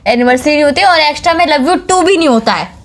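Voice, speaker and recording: A woman's voice: high-pitched, animated, quick pace. A young woman playing a clingy, suspicious, easily hurt girlfriend in a phone-call skit, nagging and repeating her questions. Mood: suspicious, accusing.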